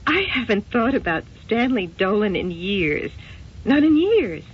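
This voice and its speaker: An American woman playing a wounded, evasive wife haunted by lost love, speaking in an emotional, halting way in an old-time radio drama. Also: medium pitch, sing-song. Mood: evasive, flustered. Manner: emotional, halting, old-fashioned genteel exclamations. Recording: dull, noisy old radio recording.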